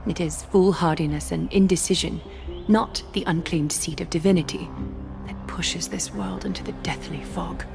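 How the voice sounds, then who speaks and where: A woman playing a composed, authoritative leader in a fantasy game, speaking in a measured, formal and deliberate way. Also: fairly low pitch, vocal fry. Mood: stern. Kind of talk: dramatic fantasy game dialogue. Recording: background music behind the voice.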